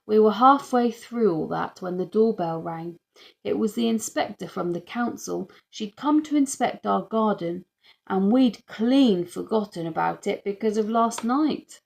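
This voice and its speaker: Creaky-voiced woman reading a children's story. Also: mid-range voice, unhurried, a little mellow. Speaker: audiobook reader.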